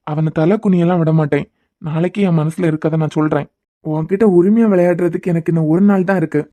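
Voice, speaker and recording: A man playing a young man secretly in love, speaking softly and poetically. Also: a little high, close to an everyday voice. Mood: soothing. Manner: soft, tender and poetic. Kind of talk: romantic audio drama acting.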